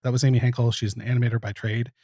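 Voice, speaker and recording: Mid-pitched man guesting on a podcast. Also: level tone, racing, mellow, no room sound. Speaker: podcast guest, audio drama producer. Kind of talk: casual interview talk.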